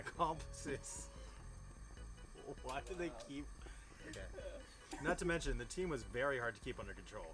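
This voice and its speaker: A man reading a story aloud in storybook style, amused and incredulous, given to breaking off with his own comments. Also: medium pitch. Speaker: reader of a fan fiction story. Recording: music playing behind the voice. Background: music.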